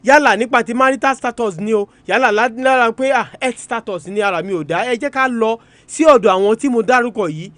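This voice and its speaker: A man's voice: extremely high pitch, fast talker, fairly bright tone, no room sound. A man with a Nigerian Yoruba accent presenting an educational radio talk in an emphatic, repetitive, teacherly manner. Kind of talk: educational radio talk, switching between two languages. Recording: music bed under the voice. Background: music.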